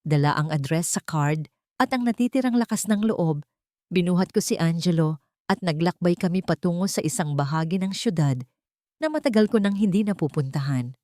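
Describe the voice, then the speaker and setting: Brief: female audiobook narrator, measured and dramatic, low voice, dry close mic. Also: animated, mellow, no room sound. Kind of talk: first-person dramatic story narration.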